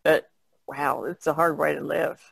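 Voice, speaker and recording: A woman's voice: sits low, fairly even intonation, measured pace, creaky, fairly bright tone, husky, no room sound. A woman co-hosting a podcast in casual, reflective chat, plainspoken and restating her points.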